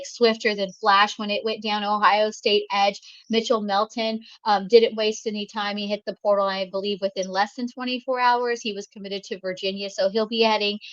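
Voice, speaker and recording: A woman's voice: mid-range voice, a bit bright, no room sound, lo-fi sound. A woman co-hosting a college football show, chatting with many fillers and restarts. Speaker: co-host. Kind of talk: sports talk commentary.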